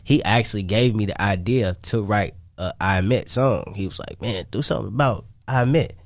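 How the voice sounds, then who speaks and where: A man's voice: fairly low pitch, brisk, no room sound, studio quality. A man talking casually as a guest in a radio interview, rambling and repeating himself with slang tags. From Chicago, United States. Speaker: music artist and songwriter, interview guest.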